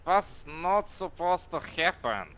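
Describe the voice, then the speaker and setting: A man's voice: on the high side, very animated, slow, a bit bright, heavily acted. A man playing an aggrieved Russian suspect in an online game roleplay, pleading bluntly in broken English with dropped articles. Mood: indignant pleading. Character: aggrieved Russian male suspect in handcuffs, grumbling excuses. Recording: muffled game voice chat, noisy.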